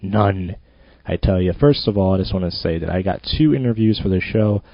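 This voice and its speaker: A man's voice: sits low, animated, brisk, no room sound. A man hosting his own podcast, rambling and self-mocking in a loose monologue. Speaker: podcast host. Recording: dull lo-fi home recording.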